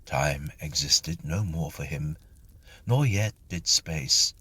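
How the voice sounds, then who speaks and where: A man reading a whimsical literary ghost story aloud in an expressive, theatrical and precise storyteller's manner. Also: very deep voice, takes it slowly, a little hiss or hum. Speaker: story narrator and reader.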